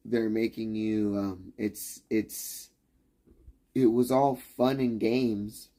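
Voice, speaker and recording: A man's voice: fairly low pitch, steady tone, very slow, mellow. A man on a livestream, talking in a profane, rambling rant that keeps restarting its sentences and challenges the listener.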